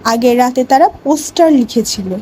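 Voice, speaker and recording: A woman playing a schoolteacher in an educational cartoon, narrating a lesson and quizzing pupils in a deliberate, instructive way. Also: high voice, warm tone, a little hiss or hum, natural voice. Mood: instructive, solemn. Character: female schoolteacher telling a history lesson to children. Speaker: teacher.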